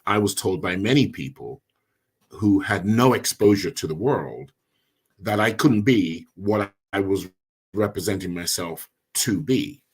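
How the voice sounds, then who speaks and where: A middle-aged immigrant man hosting a podcast, speaking in a measured, deliberate way. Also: sits low, lively intonation, breathy edge. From immigrant, came as a child. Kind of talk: casual discussion.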